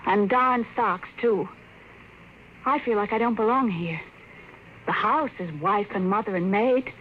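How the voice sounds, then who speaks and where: A woman's voice: medium pitch, measured pace, natural voice. An actress playing an anxious, pleading wife and mother in 1950s radio drama style. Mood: wistful. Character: frightened restless housewife and mother. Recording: dull old radio broadcast with faint hiss.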